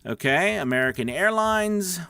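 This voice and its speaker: A man's voice: high voice, expressive, moderate pace, vocal fry, pushed and bright, clear, resonant tone, dry recording. A man coaching voice-over in a casual, chatty tutorial style, with emphatic, reassuring explanations on a close microphone. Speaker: voice-over coach and show host. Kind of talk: casual tutorial talk with demo script reads.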